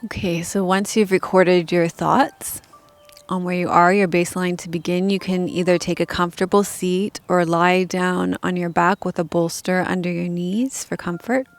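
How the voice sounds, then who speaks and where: A woman leading a guided meditation as a teacher, speaking calmly and gently in measured instructions with pauses. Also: on the low side, dry recording, soft background music.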